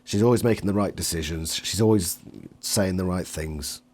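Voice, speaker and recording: A man's voice: sits low, moderate pace, darkish tone. A man talking casually as an interviewer and reviewer in a fan discussion.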